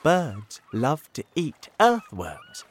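A man voicing a thoughtful, resourceful young boy in a storybook, earnest and deliberate. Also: a little high, huge pitch swings, husky, voice pushed up, exaggerated voice. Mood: explaining.

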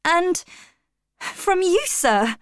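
A woman's voice: very high-pitched, sing-song, very slow, no room sound, studio quality, pitched above the performer's own voice, full character voice. A woman voicing an earnest young governess, polite and pleading but strong-willed, in a storybook reading. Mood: hesitant, sad.

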